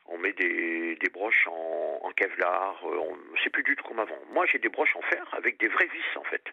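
An older man from France, calling in to a radio show, talking earnestly in a halting way and often repeating his words. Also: low-pitched, lively intonation, vocal fry, pushed and bright, slightly rough, no room sound. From France, Périgord, grew up on Réunion. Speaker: caller. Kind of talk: phone-in radio call. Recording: muffled phone line.

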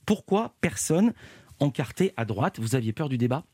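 A man hosting a live radio interview from a studio, putting brisk, pressing questions to guests. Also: mid-range voice, very animated, dark tone, husky, dry recording.

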